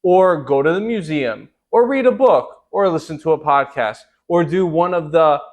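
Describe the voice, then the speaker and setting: A man's voice: fairly high pitch, expressive, moderate pace. A man hosting a podcast interview, talking enthusiastically in long run-on sentences. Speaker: podcast host. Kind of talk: casual interview talk.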